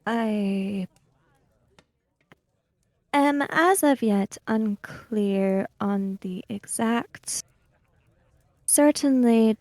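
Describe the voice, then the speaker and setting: Female player as reserved samurai emissary for tabletop game, soft dark tone, dry close sound, precise delivery. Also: medium pitch, no room sound. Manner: formal, deliberate, precise. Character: reserved, formal samurai emissary, measured and guarded. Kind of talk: in-character tabletop roleplay.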